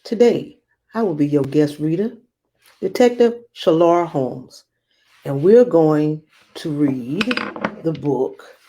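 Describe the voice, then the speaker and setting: A woman guiding young listeners through a read-aloud lesson, instructive and speaking straight to them with emphatic pauses. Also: sits low, expressive, dark tone, slight background noise. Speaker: guest reader and read-aloud host for children.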